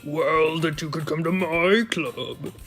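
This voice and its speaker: A man's voice: on the high side, slow, a bit bright, soft background music. A man voicing a cheerful, airheaded teenage schoolgirl in a visual novel, in a pleading, sing-song way. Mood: coaxing.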